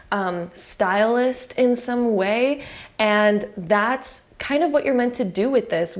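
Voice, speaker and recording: A woman giving a pick-a-card tarot reading to her viewers, soft and affirming, her voice a little cracked from having just woken up. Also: mid-range voice. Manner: soft, affirming and spiritual, full of fillers. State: voice cracking after just waking.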